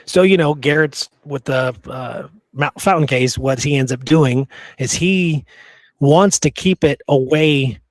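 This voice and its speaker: A man's voice: medium pitch, unhurried, no echo. A man hosting a history podcast, telling stories in an informal, slangy, conversational way. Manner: informal, slangy, says dude and you know. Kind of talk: casual conversational history storytelling.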